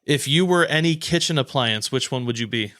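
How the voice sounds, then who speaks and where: A man's voice: medium pitch, lively intonation, brisk, fairly bright tone. A man hosting a podcast in casual, joking group banter. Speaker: podcast host.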